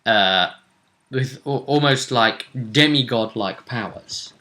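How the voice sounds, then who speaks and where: A man hosting a casual recap of a tabletop role-play tournament, telling it like a story with deliberate phrasing. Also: mid-range voice, pushed and bright. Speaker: host.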